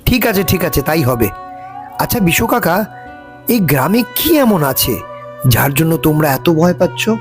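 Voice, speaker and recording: A playful young college student in a cartoon, enthusiastic and curious. His voice is high and very animated, with light music underneath. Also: normal speed, long pauses. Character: eager, playful college boy from the city. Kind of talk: cartoon voice acting.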